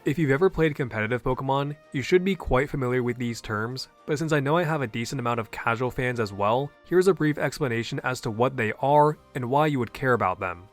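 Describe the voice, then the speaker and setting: A man's voice: medium pitch, lively intonation, a little fast, few pauses, soft background music. A man hosting a scripted explainer video, earnest and opinionated, with a native English voice. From half Japanese. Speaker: YouTube host.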